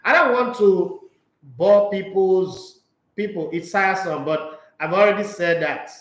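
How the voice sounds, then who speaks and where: A high-pitched man talks football in a loose, opinionated way and makes jokey asides. The room around him is echoey. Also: a little slow. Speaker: sports talk show host. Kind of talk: casual sports talk, reading news and viewer comments.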